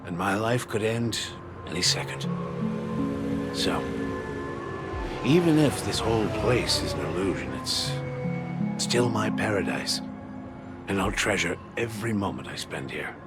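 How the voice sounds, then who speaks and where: An actor playing a courteous, wistful old war survivor in a dramatic video game, speaking in a reflective, measured way. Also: male voice, lowish voice, expressive. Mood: wistful acceptance. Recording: loud music under the voice.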